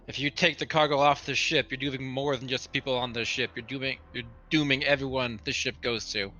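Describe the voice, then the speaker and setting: A man's voice: medium pitch, fairly even intonation, fairly quick, sharp tone. A man playing a tabletop roleplaying game, talking casually and speaking for his character. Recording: dull, lo-fi voice audio.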